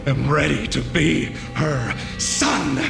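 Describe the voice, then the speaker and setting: An actor playing a deranged gangster who believes he is a world-ending wolf demon, ranting wildly from low mutters to shouts. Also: takes it slowly, creaky, exaggerated voice. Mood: frenzied. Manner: wild rant swinging from whispers to shouts. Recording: roomy hall reverb with music.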